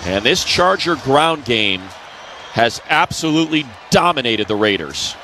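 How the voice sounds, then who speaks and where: A man's voice: mid-range voice, big pitch swings, measured pace, a crowd in the background, background noise. A man with an American accent doing live play-by-play commentary of American football on the radio. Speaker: play-by-play sports announcer.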